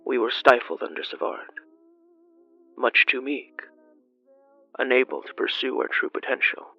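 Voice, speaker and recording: A narrator acting out a creaky, grimly amused man. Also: medium pitch, lo-fi sound, voice pushed down. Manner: measured, grimly jovial. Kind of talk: audio drama character dialogue. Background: music.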